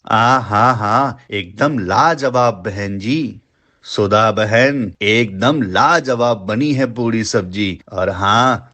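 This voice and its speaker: A man's voice: fairly low pitch, a bit bright. A man voicing an effusive, friendly food-stall customer in a moral story, gushing and repeating himself.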